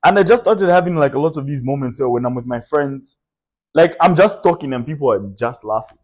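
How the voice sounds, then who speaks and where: A young man from Zambia, a comedian guest telling stories in a relaxed podcast chat, with lots of fillers and laughter. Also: a little high, fairly quick. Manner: storytelling, laughs often, many fillers.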